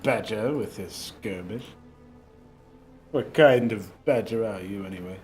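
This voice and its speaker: A man's voice: fairly low pitch, measured pace, a little mellow, faint music behind. A young man chatting casually on a livestream, rambling with fillers and restarts, with a lingering cough. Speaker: streamer.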